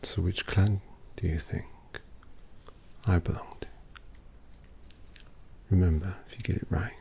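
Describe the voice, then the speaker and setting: A man voicing a languid, possessive male vampire artist in an ASMR roleplay, talking softly and teasingly to the listener. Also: deep voice, fairly even intonation, slight background noise. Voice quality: soft whispery, gravelly. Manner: slow, teasing, intimate address to listener.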